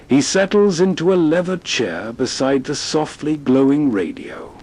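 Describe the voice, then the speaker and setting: A man's voice: medium pitch, darkish tone. A man hosting with soothing scripted narration in a bedtime storytelling style. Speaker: host narrator.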